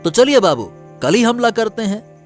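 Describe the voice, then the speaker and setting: A man's voice: high-pitched, very animated, normal speed, faint music behind. A man playing an obedient, wary henchman in a cartoon, deferential and conspiratorial. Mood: conspiring, wary.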